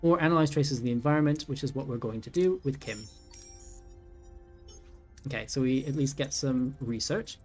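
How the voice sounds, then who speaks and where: A man's voice: mid-range voice, moderate pace, long pauses. A man in his thirties giving casual let's-play commentary, thinking aloud with many ums and self-corrections. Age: 30s. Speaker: gaming YouTuber. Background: faint game music.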